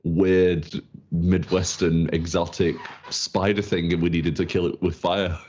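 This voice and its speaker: Very deep-voiced Manchester man, chatty. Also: flat delivery, a little slow, a little mellow, slight background noise. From England. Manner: chatty, laughs often, filler-heavy. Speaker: community manager co-host. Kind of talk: casual livestream chat. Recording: dull lo-fi stream audio.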